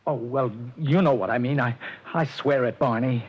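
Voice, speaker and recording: A man's voice: mid-range voice, normal speed, breathy edge, lightly acted. An actor playing a nervous, stammering businessman in a 1940s radio drama, pleading and desperate. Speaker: narrator and lead. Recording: dull lo-fi old radio broadcast.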